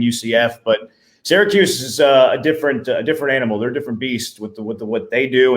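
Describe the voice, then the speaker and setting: A man who works as a play-by-play announcer, talking through sports analysis as an interview guest. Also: medium pitch.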